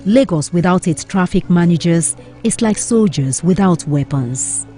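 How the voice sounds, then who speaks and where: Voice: mid-range voice, animated, unhurried, warm tone, soft background music. A news reporter reading scripted narration in a formal, declarative manner.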